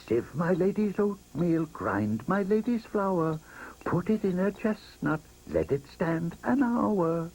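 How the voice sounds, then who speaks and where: A storybook narrator voicing a chorus of tiny cheeky mice, chanting nursery rhymes in a sing-song, squeaky way. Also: sing-song, takes it slowly, creaky, heavily acted, low-quality recording. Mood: gleeful singing. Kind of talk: storybook reading. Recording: loud music underneath. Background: loud music.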